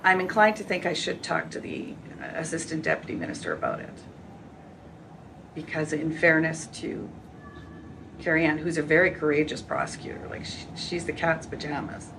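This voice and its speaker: A woman's voice: deep voice, sing-song, moderate pace, vocal fry, a bit bright. A woman talking frankly and colloquially in a casual conversation. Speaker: former Crown prosecutor. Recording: distant mic, noisy ambience.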